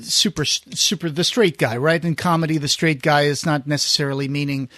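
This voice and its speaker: A man hosting a podcast in casual conversation, feeling his way haltingly through his sentences. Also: on the high side, sharp tone, dry recording, studio quality. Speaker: podcast host.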